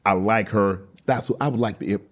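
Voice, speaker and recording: A man's voice: on the low side, normal speed. A middle-aged man hosting an interview, asking prodding questions and laughing big. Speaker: talk show host.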